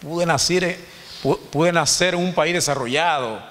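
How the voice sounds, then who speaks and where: A man's voice: a little high, unhurried, a bit bright. A Dominican man in his fifties giving an informal Sunday teaching to a congregation, chatty and joking, often checking in with his listeners. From Dominican Republic. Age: 50s. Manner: conversational, joking, asks the congregation "¿verdad?". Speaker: church teacher. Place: church hall. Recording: long hall reverb.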